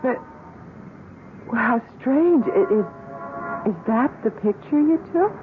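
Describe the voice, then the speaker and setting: A woman's voice: a little high, expressive, takes it slowly, music in the background. Curious young radio-drama girlfriend. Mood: bewildered. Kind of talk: old-time radio drama acting. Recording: muffled vintage radio broadcast, faint noise.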